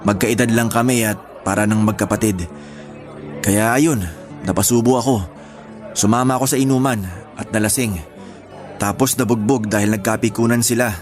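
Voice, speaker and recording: A man playing a quiet, gentle homebody in radio drama dialogue, talking in a casual, matter-of-fact way. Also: lowish voice, lightly acted. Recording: faint music under dialogue.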